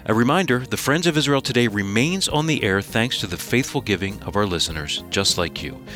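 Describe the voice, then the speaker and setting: A man's voice: fairly low pitch, expressive, brisk, fairly bright tone, soft background music. A man delivering a scripted radio program outro as the show's announcer.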